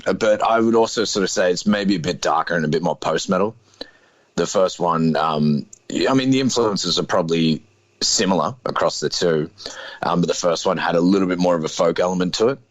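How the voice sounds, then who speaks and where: A deep, low-voiced man in his thirties, talking as an interview guest. He is laid-back and hedges every point with fillers and "sort of". The sound is dry and close, with no room around it. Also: a little fast, dry recording, low-quality recording. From Australia. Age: 30s. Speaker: guest musician. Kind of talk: casual interview chat.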